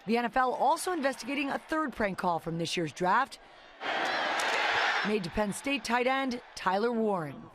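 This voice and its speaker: A woman's voice: on the high side, lively intonation, moderate pace, background noise. A woman with an American accent narrating a scripted television news report as a correspondent.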